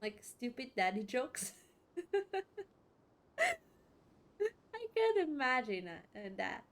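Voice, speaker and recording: A woman giving casual let's play commentary, in a halting, giggly way with repeated words. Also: a little high, big pitch swings. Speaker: gaming streamer.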